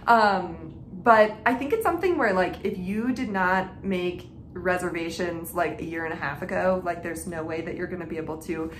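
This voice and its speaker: A woman's voice: fairly low pitch, moderate pace, hardly any pauses. A woman hosting a craft podcast, chatting informally to her viewers with frequent filler "like" and self-interruptions. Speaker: knitting and reading podcast host. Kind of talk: casual chat to viewers. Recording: echoey room with faint background noise.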